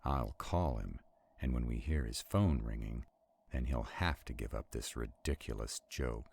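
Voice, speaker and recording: A man playing an arrogant, stubborn vampire in a roleplay audio drama, with a deep, gravelly, husky voice and a haughty, deliberate delivery. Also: darkish tone, a little hiss or hum, heavily acted. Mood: irritated, demanding. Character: arrogant, stubborn male vampire, irritated and skeptical.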